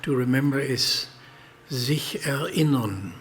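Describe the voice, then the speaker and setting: A man whose first language is German, giving a slow, deliberate and reflective speech on a theatre stage. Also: mid-range voice, big-room sound, a little hiss or hum. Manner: slow, deliberate, explaining words. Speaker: speaker.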